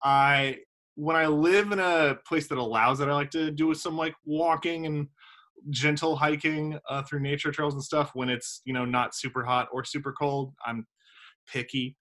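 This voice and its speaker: A man in his thirties, originally from South Carolina, talks casually about his work over a video call from home. He fills pauses with ums and jokes at his own expense. His voice is bright and forward. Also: fairly high pitch, steady tone. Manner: chatty and self-deprecating, with many ums and uhs. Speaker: theater director and playwright. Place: home room with windows. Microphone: video call laptop mic.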